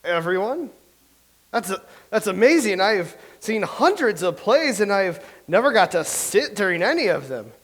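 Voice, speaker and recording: Guy doing a sulky old-timey playwright, high voice that swings all over. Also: sing-song, moderate pace. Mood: mopey. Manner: mournful and self-pitying. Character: gloomy playwright out of an older era, man. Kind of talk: staged play reading.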